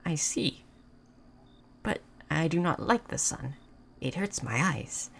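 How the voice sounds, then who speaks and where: A woman playing a gentle, naive moth person in a roleplay audio, speaking in halting, simple, formal phrases. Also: low voice, expressive, vocal fry, slightly rough, heavily acted. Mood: curious, hesitant. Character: gentle, naive, curious moth person learning human things. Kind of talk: scripted roleplay audio addressed to the listener.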